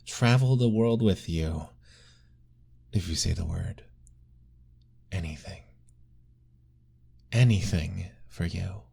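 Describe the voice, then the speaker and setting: A man voicing an ancient, lovestruck male vampire lord in an ASMR roleplay, formal and soft-spoken, pleading gently. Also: low voice, slow pace, gravelly, pauses often, slightly rough, heavily acted.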